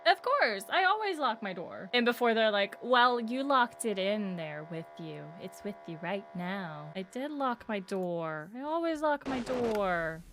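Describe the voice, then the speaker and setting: A woman streamer gives casual reaction commentary with a bright voice and very animated pitch. She is playful and answers back to what she watches, over faint noise and clicks. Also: unhurried, few pauses. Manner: playful, talks back to the video. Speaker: streamer reacting to a horror series.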